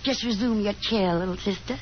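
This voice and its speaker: A woman's voice: medium pitch, fairly quick, natural voice. An actress playing a nagging, genteel middle-aged Southern mother with a theatrical, chatty manner in a 1950s radio drama. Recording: muffled, noisy 1950s radio broadcast.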